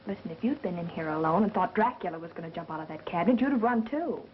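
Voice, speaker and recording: A woman playing a sharp-tongued skeptic in an old mystery picture. She snaps out sarcastic wisecracks. The soundtrack is muffled with a slight hiss. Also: on the low side, animated, quick pace, close to an everyday voice. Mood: rattled, defensive. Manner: snappy wisecracking. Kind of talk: 1930s mystery film acting. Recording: muffled, hissy 1930s film soundtrack.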